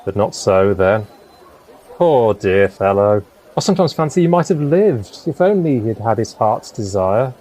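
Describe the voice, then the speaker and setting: Expressive, dreamy poetic gentleman. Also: lowish voice, a little slow. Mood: mournful. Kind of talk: period audio drama acting.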